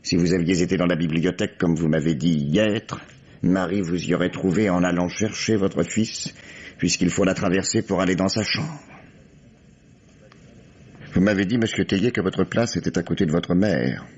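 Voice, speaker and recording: Police commissioner male actor: very low voice, shrewd, formal, world-weary, deliberate pauses, old French radio drama with muffled sound. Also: darkish tone, close to an everyday voice. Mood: grave, weary. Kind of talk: vintage French radio mystery acting. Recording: dull, band-limited old radio recording.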